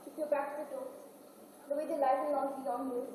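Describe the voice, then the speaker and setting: Stage drama: a woman as an anxious, practical daughter, very high projected voice, long hall reverb. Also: flat delivery, quick pace, background noise, natural voice. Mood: hushed, urgent. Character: Kathleen, anxious practical young Irish daughter. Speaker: stage actor. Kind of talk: college one-act stage play, declaimed. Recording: echoey hall from far off, music behind.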